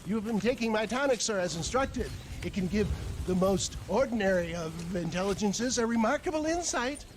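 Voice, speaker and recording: High pompous old west tonic huckster, flowery and pleading, video game, faint background ambience. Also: male voice, expressive, fairly quick, slight background noise. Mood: pompous, indignant. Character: wordy, wheedling Old West snake-oil salesman. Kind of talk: video game cutscene acting.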